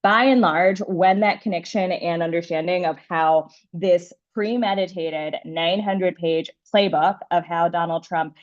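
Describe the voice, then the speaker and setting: A woman's voice: lowish voice, steady tone. A woman whose first language is Bengali, a campaign organizer giving an enthusiastic talk on an organizing call. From Bangladesh. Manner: fast, enthusiastic, upbeat talk with restarts. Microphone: video or phone call. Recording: dull lo-fi call audio.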